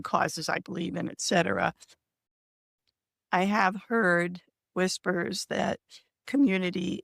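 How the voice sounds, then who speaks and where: A woman's voice: lowish voice, creaky, no echo, studio quality. A woman with a scratchy voice hosting a casual live video chat, welcoming viewers and leading into the talk. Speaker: host.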